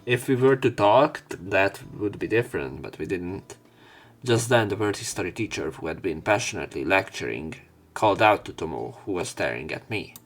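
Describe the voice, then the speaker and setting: Low-voiced man reading a game aloud. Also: animated, normal speed. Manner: informal, wry asides with swearing. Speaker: let's play commentator. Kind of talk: reading visual novel narration aloud with casual commentary.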